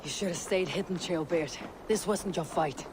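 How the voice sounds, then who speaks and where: A steady Viking leader, firm and measured, bright high voice. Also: male voice, heavy background noise. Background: game score.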